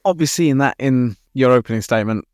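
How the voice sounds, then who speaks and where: A man's voice: mid-range voice, fast, no echo, studio quality. A man hosting a podcast interview, asking questions and repeating words as he gathers his thoughts. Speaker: podcast host.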